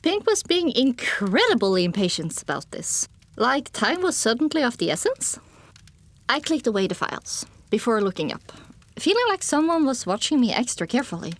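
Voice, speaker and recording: A woman reading fiction as an audiobook narrator, in an expressive first-person storytelling style. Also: on the high side, normal speed.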